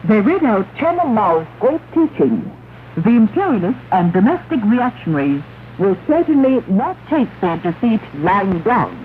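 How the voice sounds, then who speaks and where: A woman radio announcer reading 1960s propaganda news in a formal, declamatory way with deliberate phrasing. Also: mid-range voice, very animated. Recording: scratchy 1968 shortwave radio, narrow band, hiss.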